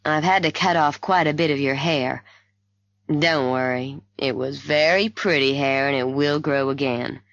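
A woman reads the part of a kindly male doctor in an audiobook mystery. He speaks low and unhurried, calm, frank and reassuring. The sound is a little dull. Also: no echo, heavily acted. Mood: reassuring, dry.

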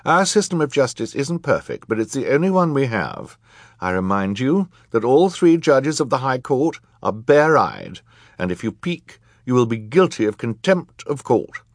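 Man playing a stern, high-pitched woman judge. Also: very animated, normal speed, dry recording, studio quality. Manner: formal, commanding, firm. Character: stern, authoritative woman judge presiding over a courtroom. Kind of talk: audiobook character acting.

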